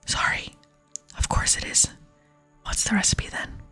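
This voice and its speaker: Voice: unhurried, sharp tone, soft background music. A person voicing a polite, pleading and slightly cheeky video game adventurer in a whisper. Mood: eager, cheeky.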